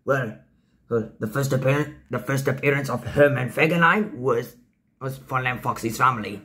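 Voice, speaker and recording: A man voicing a jolly, boastful cartoon pirate fox with gravelly, halting, theatrical buccaneer talk. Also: medium pitch, sharp tone, lightly acted. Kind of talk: in-character fan Q&A voice lines.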